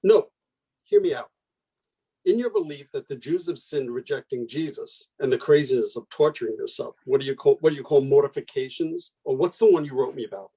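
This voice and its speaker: Husky, anguished man pleading in earnest acted. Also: mid-range voice, expressive, brisk, warm tone, rough recording. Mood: exasperated. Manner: pleading, intense, argumentative. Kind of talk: radio play acting.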